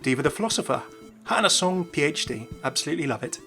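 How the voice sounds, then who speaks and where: Fast-talking man, game commentary. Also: on the high side, expressive, pushed and bright, soft background music. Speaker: YouTube game lore presenter. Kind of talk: scripted video commentary. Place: voice-over with faint music behind.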